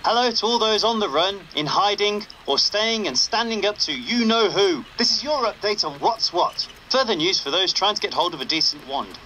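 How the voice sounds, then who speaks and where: An actor playing a wry young rebel wizard radio announcer in a video game, with punchy, sarcastic delivery. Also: male voice, high voice, expressive, normal speed, few pauses, noisy background. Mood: wry, newsy.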